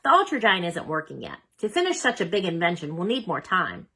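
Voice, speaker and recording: A woman voicing a proud, kindly inventor father who explains gently in a children's storybook. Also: on the low side, sing-song, a little fast, heavily acted. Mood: gentle, apologetic. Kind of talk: children's storybook read-aloud.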